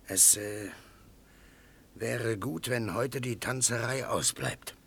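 A husky, sardonic chief. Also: male voice, mid-range voice, takes it slowly, fairly bright tone, full character voice. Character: wary, sardonic Lakota chief. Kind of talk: German radio play acting.

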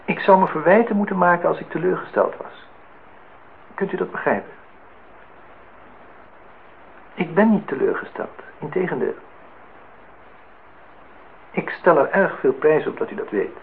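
An earnest man in his thirties, in love and full of self-reproach. His voice is creaky and he speaks in formal, halting, reflective phrases. It is a radio play with a narrow, telephone-thin sound. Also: a little high, animated. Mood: earnest, regretful. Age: 30s. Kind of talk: radio drama acting. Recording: thin phone-like sound, noisy old radio recording.

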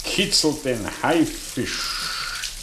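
Theatrical, swooping older professor. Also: male voice, medium pitch, lively intonation, drawn-out words, noisy background. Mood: mocking. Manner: theatrical, sardonic, grandiloquent. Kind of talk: 1960s German radio play acting.

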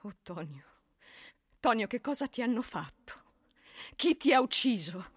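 A woman's voice: on the high side, big pitch swings, a little slow, breathy edge, no echo, clean studio sound, heavily acted. An actress playing a grieving middle-aged peasant mother, pleading tenderly in a radio drama monologue.

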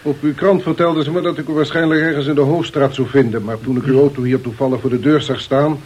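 An actor playing a shrewd, sarcastic police inspector in a radio play, polite, measured and quietly insinuating. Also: male voice, mid-range voice, steady tone, breathy edge, close to an everyday voice. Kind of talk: Dutch radio play acting. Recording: dull, lo-fi radio play recording.

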